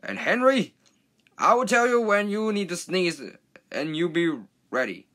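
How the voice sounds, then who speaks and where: Casting: excitable storybook railwayman, high and sharp, urgent calls performed by a man. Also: a little slow. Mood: urgent, instructing. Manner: urgent, exclaiming. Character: excitable passengers and driver calling out in alarm.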